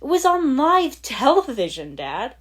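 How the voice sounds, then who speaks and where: A woman's voice: high-pitched, wildly swooping pitch, measured pace, pitched above the performer's own voice, heavily acted. A woman voicing an angry, hurt teenage boy ninja in a story, snapping bitingly at his father. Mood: furious, hurt. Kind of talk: fan fiction audiobook dialogue.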